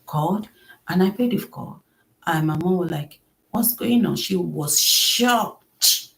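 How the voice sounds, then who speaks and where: A woman with a soft voice, earnestly telling an emotional personal story as a podcast host. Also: sits low, rough recording. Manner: earnest, emotional, acts out quoted speech.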